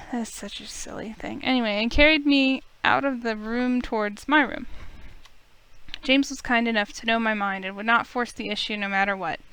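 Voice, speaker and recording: A woman reading a visual novel aloud as an expressive, dramatic narrator. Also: a little high, clean tone. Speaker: let's play streamer. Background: music in the background.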